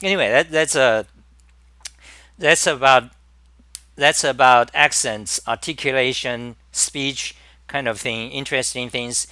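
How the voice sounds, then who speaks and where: A man with a Chinese accent hosting a live-stream talk, speaking in halting bursts with many restarts and repeated words. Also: medium pitch, bright, forceful tone, clear, resonant tone, dry recording. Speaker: host.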